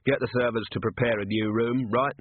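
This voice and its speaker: A man's voice: medium pitch, steady tone, a little fast, husky, dry recording, lightly acted. A man voicing a wary middle-aged watch commander who gives clipped, suspicious orders. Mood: curt. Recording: phone-like narrow band, dry.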